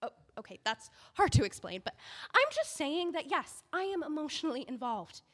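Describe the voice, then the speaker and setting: An actress playing a young intern editor who pleads earnestly and emotionally, rushing between thoughts. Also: female voice, sits high, very animated, fairly bright tone, long reverb tail. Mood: flustered. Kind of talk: audio drama acting.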